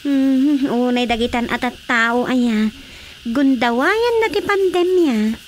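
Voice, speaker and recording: An actress with an Ilocano accent playing an earnest, gently insistent young village wife in a radio drama. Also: female voice, high voice, takes it slowly, a little hiss or hum. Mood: attentive.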